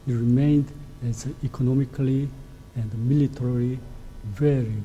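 A middle-aged man from Korea with a Korean accent, an ambassador giving a formal lecture in a halting, soft-spoken way. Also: mid-range voice, fairly even intonation, dull audio, slight background noise. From South Korea. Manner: halting, with frequent hesitations.

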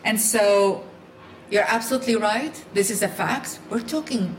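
A woman's voice: mid-range voice, moderate pace, reverberant, rough recording. A woman who grew up in Iraq, speaking on a live panel in an earnest, emphatic way and often restarting her sentences.

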